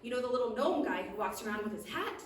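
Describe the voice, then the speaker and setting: A woman voicing a laid-back, greedy male food critic who speaks in an offhand, slightly lowered voice, heard in an echoey room from some distance. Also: lively intonation, fast talker, slight background noise, close to an everyday voice.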